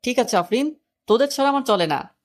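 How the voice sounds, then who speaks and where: Woman doing flirty clingy college guy, soft wheedling talk, audio romance story. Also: fairly high pitch, expressive, a little fast, pitched above the performer's own voice, close to an everyday voice. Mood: apologetic, wheedling.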